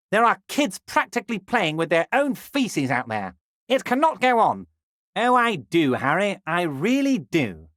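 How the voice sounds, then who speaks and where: A scheming heavyset union boss with oily, honeyed charm, his pitch rolling up and down in lively swings. He talks with smug self-satisfaction and an edge of menace. The recording is close and dry. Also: dry recording. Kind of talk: video game voice acting.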